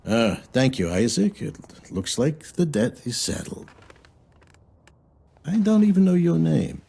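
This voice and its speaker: A man's voice: a little high, big pitch swings, vocal fry, mellow, husky, exaggerated voice. A man playing a sly, smug loan shark in a video game cutscene, insinuating and falsely courteous. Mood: mock-polite, probing. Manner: unhurried, insinuating, mock-polite.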